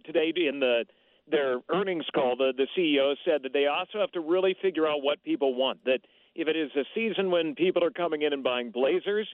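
A man's voice: a little high, dry recording. A man calling in as a guest reporter, steadily explaining retail news with frequent "uh" fillers. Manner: steady, thorough, with many "uh" fillers. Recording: thin phone line.

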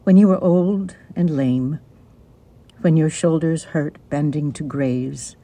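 Slow, husky man reciting poetry. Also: on the high side, dark tone. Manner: measured, deliberate phrasing. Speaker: poetry reader.

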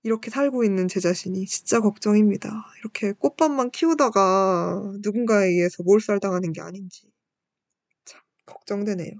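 Woman in her 20s hosting a talk show, polite n candid, kinda self-deprecating, mid pitch, very dry sound. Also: normal speed, darkish tone, no echo. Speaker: radio-style show host and university student. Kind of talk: personal reflective talk, reading listener messages.

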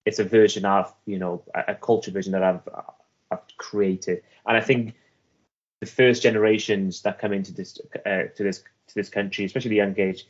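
Deep-voiced man with a Welsh accent. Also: steady tone, dull audio. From Afghanistan. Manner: earnest, halting, with many restarts and fillers. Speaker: interview guest. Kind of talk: reflective personal storytelling.

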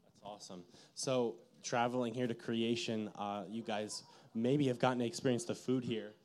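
A man's voice: mid-range voice, fairly even intonation, moderate pace, darkish tone. A man hosting a casual interview, asking questions in an enthusiastic, informal way. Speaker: interviewer, host.

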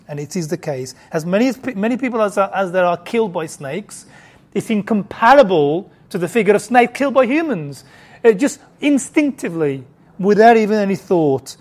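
A woman's voice: mid-range voice, darkish tone. A woman giving a conversational Bible lesson to a group, often restarting her sentences and asking the listeners questions. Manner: informal, stumbling restarts, asks the audience questions. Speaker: Bible teacher.

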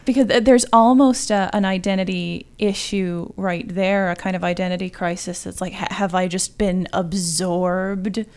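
A woman with a mid-pitched voice and noticeable vocal fry. She talks about writing expressively, leaning on words with dramatic emphasis. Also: steady tone, moderate pace, hardly any pauses, darkish tone. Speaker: writer on a discussion panel. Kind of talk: literary discussion.

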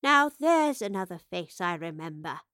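A woman reading as a serene old professor at the end of a life of adventure. She speaks slowly and gently, with a soft, knowing lilt. The sound is close and dry, with no room around it. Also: fairly low pitch, very animated, no echo. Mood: tender. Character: elderly woman professor, calm and wistful.

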